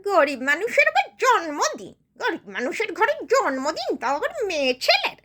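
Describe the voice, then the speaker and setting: A poor old village granny in a comic skit. Her voice is extremely high and swings widely up and down. She whines and grumbles in a querulous, rustic, self-pitying way, circling back over the same words. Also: animated, slow pace, fairly bright tone, full character voice. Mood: scornful, grumbling. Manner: querulous, whining, rustic, repetitive.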